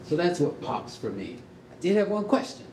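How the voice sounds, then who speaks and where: A man's voice: fairly high pitch, very animated, moderate pace. An older man giving informal remarks as a guest at a live event. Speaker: guest, retired project officer. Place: indoor rehearsal hall, on stage. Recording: echoey room, faint background noise.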